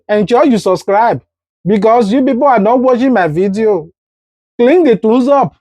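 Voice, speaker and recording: A man's voice: sits high, dry recording. A man giving heated vlog commentary, speaking straight to his viewers. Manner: heated, lively, direct to viewers. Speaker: vlogger.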